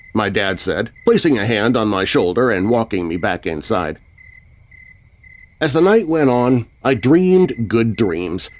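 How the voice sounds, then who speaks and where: A man narrating a scripted children's horror story. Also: medium pitch. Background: music.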